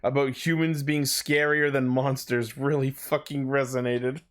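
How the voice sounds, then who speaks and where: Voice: medium pitch, flat delivery, unhurried. A podcast host chatting casually through a TV recap in a sweary, incredulous, exasperated way. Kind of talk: casual recap chat about a TV show.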